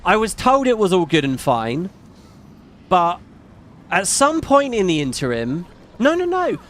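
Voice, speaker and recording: Chatty British man, fairly high voice. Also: wildly swooping pitch, a little slow. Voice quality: fairly high-pitched, not macho. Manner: rambling, chatty, swears casually. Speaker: streamer. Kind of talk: casual live-stream chat.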